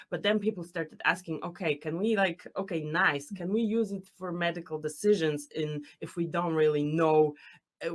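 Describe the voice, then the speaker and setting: A woman hosts an online lecture, chatting with a guest in a friendly, loose way and thinking aloud as she talks. Her voice sits a little low. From Poland. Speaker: host. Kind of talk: hosting an online lecture interview.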